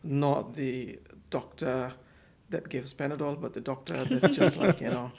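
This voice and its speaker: A man talks as a podcast guest in an office, at a middle pitch for a man. His voice has a gravelly, creaky edge. He speaks hesitantly and often repeats words and fills gaps while finding his phrasing. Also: unhurried, darkish tone. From Pakistan. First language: Urdu. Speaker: guest, center manager. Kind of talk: casual podcast interview.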